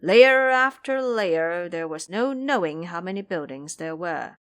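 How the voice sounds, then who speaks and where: A woman's voice: fairly low pitch, lively intonation, a little slow, fairly bright tone. A woman giving a scripted, dramatic narration as the storyteller of an audio drama.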